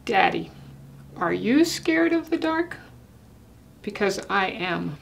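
Older woman acting a slow, bossy little girl. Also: mid-range voice, very animated, creaky, slight background noise, heavily acted. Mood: earnest. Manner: pompous, salesman-like, mock-reasonable. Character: bossy, precocious little girl persuading her daddy.

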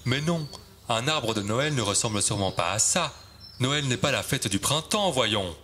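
A man's voice: mid-range voice, sing-song, a little slow, fairly bright tone. A man voicing a tall, self-assured fir tree in a children's storybook, with an opinionated, declamatory manner. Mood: dismissive.

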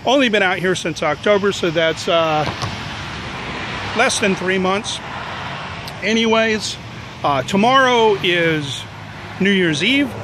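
A man in his forties hosting a vlog, joking his way through an outdoor visit, filmed with a handheld camera at arm's length beside a busy road. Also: sits high. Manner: playful, mock-dramatic, wisecracking. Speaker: vlog host. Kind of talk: jokey exploring vlog. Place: outdoors by a road, overcast and drizzly. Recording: outdoor traffic noise behind. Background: passing cars.

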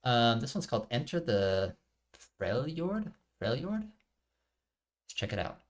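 A man's voice: medium pitch, very animated, slow pace. A middle-aged man giving casual reaction commentary as a video host, musing aloud as he watches. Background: trailer music.

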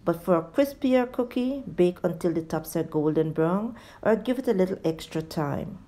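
A woman's voice: lowish voice, lively intonation, moderate pace, mellow. A woman giving a step-by-step cooking tutorial as a voice-over, addressing viewers directly as a home cooking host.

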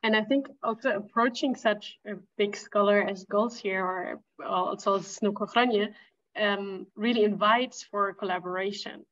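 A woman's voice: medium pitch, moderate pace, a bit bright. A woman who is a native German speaker, discussing her research informally in an online academic talk. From Germany or German-speaking Europe. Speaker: academic researcher, lecture presenter. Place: indoor room on video call. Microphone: video call. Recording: slightly dull video-call audio.

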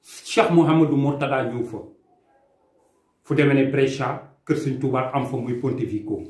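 A young man with a Senegalese accent speaks earnestly and emphatically to a camera in a small room. His voice sits at an ordinary middle pitch. The room adds a noticeable echo. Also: moderate pace, darkish tone. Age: young adult. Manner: impassioned, emphatic, gesturing with his hands. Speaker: immigration consultant. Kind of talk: earnest video statement, mixing French and Wolof. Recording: echoey room sound.

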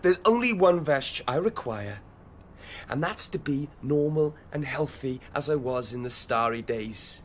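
An actor playing an insolent, volatile teenage street thug in a dystopian radio drama, speaking theatrical slang. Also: male voice, mid-range voice, expressive, measured pace, slight background noise, close to an everyday voice. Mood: pleading. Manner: theatrical slang-heavy speech. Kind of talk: radio drama acting and narration.

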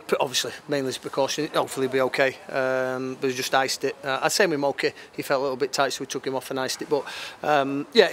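A man in his fifties giving an unscripted post-match football interview, matter-of-fact and halting, repeating phrases as he goes. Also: mid-range voice, a bit bright. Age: 50s. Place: outdoors at a football ground at night. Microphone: handheld interview microphone. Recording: clear outdoor background noise.

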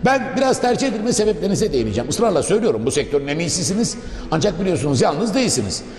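Man, TV host, formal wordy delivery, rapid pace, reverberant room, promotional interview. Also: sits high, big pitch swings, darkish tone. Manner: wordy, formal, addresses viewers and guest politely. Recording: echoey reverberant room, music behind. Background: background music.

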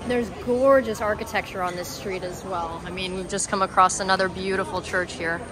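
A woman's voice: medium pitch, fairly even intonation, fairly quick, crowd noise, rough recording. A young woman chatting in a bubbly, joking way as a travel vlogger on a city walk. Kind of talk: casual travel vlog chat. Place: outdoors on city streets. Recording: street noise behind.

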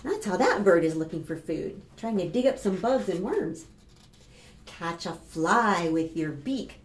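A woman in her fifties reads a picture book aloud to preschoolers, expressive and instructive, addressing the children directly. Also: lowish voice, unhurried. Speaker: children's storytime librarian. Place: small plain room indoors. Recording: roomy echo, faint background noise.

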